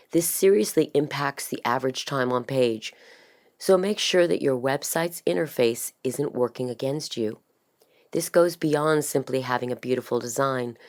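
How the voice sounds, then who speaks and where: A woman reading a scripted explainer as a voice-over artist, in a measured, instructional way. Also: very deep voice, darkish tone.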